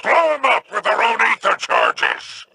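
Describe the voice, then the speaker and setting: A sly alien crime boss from a video game. The voice is thick with gravel and very forceful, drawling slowly with gloating, cynical mockery. Faint noise sits behind it. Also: male voice, high-pitched, wildly swooping pitch, full character voice. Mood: smug, mocking. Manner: slow, mocking, drawn-out delivery. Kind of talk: video game character acting.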